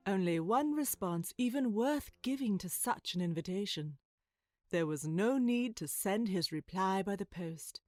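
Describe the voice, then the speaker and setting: A woman narrating a children's story from a script, with expressive, literary phrasing. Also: medium pitch, no echo, clean studio sound. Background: music.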